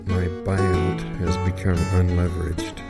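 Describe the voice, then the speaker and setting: Very deep, slow man, mock-blues lament. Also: little pitch movement, vocal fry, over loud music. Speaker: improv comedy performer. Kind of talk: spoken mock-blues comedy.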